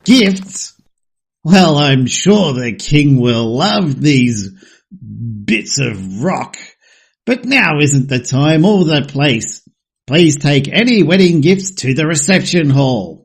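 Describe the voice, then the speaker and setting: Man as a husky, slow, officious guard. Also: on the high side, big pitch swings, exaggerated voice. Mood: officious, exasperated. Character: officious, exasperated palace guard. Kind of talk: audio drama acting.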